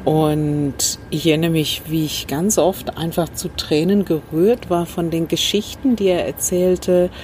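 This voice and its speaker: Woman, thoughtful and unhurried. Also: low voice, steady tone, a little mellow. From Northern Ireland. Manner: thoughtful, pauses with fillers, restarts phrases. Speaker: interview guest, communication trainer. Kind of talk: reflective storytelling. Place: rooftop terrace in a city, outdoors. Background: faint traffic noise, passing train.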